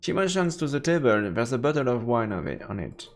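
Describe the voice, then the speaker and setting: A man's voice: medium pitch, very animated, fairly quick. A man live-streaming a game, reading its text aloud and commenting informally, stumbling a little over the words. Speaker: let's play streamer. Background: game music.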